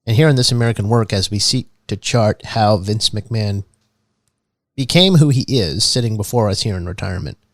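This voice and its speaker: A man hosting a comedy podcast in a mock public-radio style, earnest and halting with many restarts. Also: fairly low pitch, dry recording, clean studio sound. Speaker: host.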